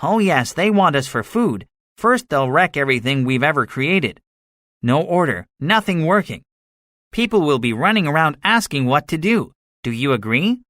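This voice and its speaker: A man's voice: on the high side, sing-song, normal speed, fairly bright tone, dry recording, clean studio sound, pitched above the performer's own voice. A man voicing a cynical, boastful soldier survivor in a dramatized story, speaking emphatically with a swaggering manner. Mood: gloating, grim. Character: cynical, boastful male soldier, excitable survivor with grand schemes. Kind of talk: dramatized audiobook dialogue.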